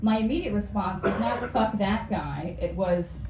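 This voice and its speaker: A young woman from Florida doing a hesitant, deadpan stand-up set, full of ums and likes. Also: mid-range voice, measured pace. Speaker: stand-up comedian. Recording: distant, echoey club with noise.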